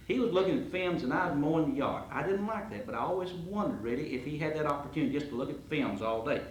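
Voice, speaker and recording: A man's voice: a little high, lively intonation, fast talker, a little hiss or hum. A man acting as emcee at a roast, telling folksy stories about the coach and introducing speakers. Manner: folksy, reminiscing. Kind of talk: roast speech and reading a proclamation. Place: banquet hall. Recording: echoey banquet hall.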